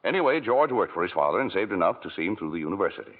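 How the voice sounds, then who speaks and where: A patient senior male angel tells the tale in a very low, husky voice that rises and falls freely. The sound is phone-thin like an old 1940s broadcast, with faint music under it. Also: racing, phone-quality sound, exaggerated voice. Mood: calm. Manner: calm storyteller, explaining. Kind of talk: 1940s radio acting. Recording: scratchy 1940s radio broadcast.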